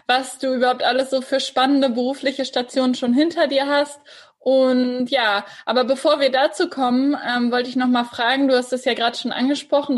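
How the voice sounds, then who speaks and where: A woman with a fairly high voice speaks as the host of a podcast. Her delivery is conversational, in the manner of an interview with a guest. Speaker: podcast host and mindset coach.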